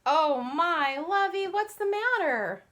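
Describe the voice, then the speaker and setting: A woman's voice: very high-pitched, expressive, slow pace, fairly bright tone. A grown woman hosting a children's puppet show, speaking to little kids and chatting with the puppets. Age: adult parent. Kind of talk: addressing young children, scripted puppet dialogue.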